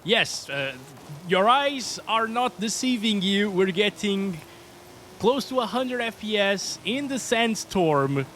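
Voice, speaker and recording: A man's voice: high-pitched, animated, slow, a bit bright, a little hiss or hum. A man with a Portuguese accent giving chatty, upbeat commentary over gameplay as a hardware reviewer. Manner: chatty, upbeat, often says "guys".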